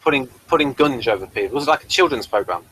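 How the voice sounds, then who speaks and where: Bright, animated British man in casual chat. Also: medium pitch, fast talker, low-quality recording. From United Kingdom. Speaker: podcast co-host reviewing a TV episode.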